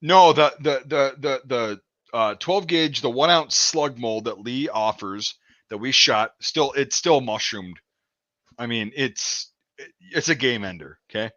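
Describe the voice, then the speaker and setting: Male host, casual stream chat, bright tense tone, muffled lo-fi audio, swears freely. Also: mid-range voice, lively intonation, measured pace, no echo. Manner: profane, rambling, restarts often. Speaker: show host.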